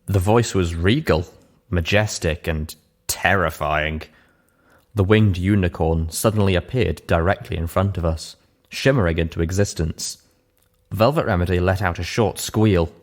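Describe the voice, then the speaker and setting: Casting: male audiobook narrator, very low-pitched, scripted first-person storytelling. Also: unhurried.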